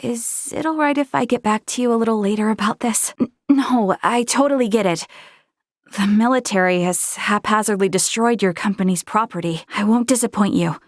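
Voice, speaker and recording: A woman's voice: mid-range voice, normal speed, no echo, close to an everyday voice. Earnest young officer played by a woman, dry studio sound. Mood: flustered, reassuring. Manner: polite, conscientious, sometimes hesitant. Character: earnest, polite young female Federation officer from space. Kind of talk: video game voice acting.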